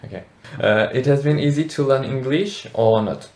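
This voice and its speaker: A man conducts an interview in a mid-pitched voice, putting short, plain questions and adding the odd filler. His voice carries a roomy echo. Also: moderate pace, darkish tone, a little hiss or hum.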